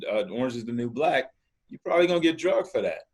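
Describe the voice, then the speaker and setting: A man hosting a casual chat podcast, talking in an animated way and repeating words as he starts his thoughts. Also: mid-range voice, quick pace. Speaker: podcast host.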